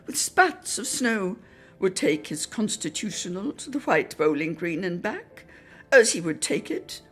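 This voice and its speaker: Woman giving a highly animated dramatic reading. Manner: theatrical, expressive delivery with drawn-out emphasis. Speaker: reader in a radio players' production. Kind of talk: dramatic literary reading of a Christmas reminiscence.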